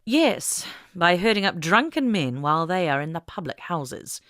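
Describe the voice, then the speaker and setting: A woman narrator voicing an earnest, wry older suffragist with formal, measured phrasing in an audiobook. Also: fairly low pitch, big pitch swings, fairly bright tone, dry recording, studio quality. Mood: wry, sharp. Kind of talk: audiobook character dialogue.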